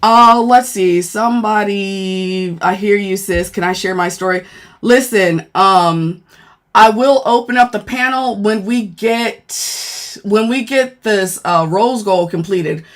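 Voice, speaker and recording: Deep-voiced woman going live on her phone, blunt and chatty, mid pitch. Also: takes it slowly. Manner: blunt, frank, thanks viewers mid-sentence. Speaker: livestreamer and former law enforcement worker. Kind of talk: casual live-stream chat reading comments.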